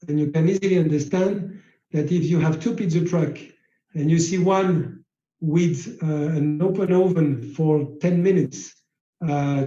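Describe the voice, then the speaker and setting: French-native male lecturer, mellow tone, halting delivery with fillers, echoey room. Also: on the high side, muffled recording. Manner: slow, halting, many uh fillers. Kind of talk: slide-based lecture.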